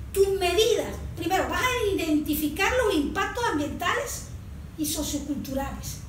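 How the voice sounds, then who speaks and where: A woman with a Venezuelan accent speaks in a high voice, explaining things point by point with emphasis. She sounds like a consultant laying out a proposal. The recording is very reverberant and picked up from a distance, with clear background noise. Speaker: environmental consultant, interview guest.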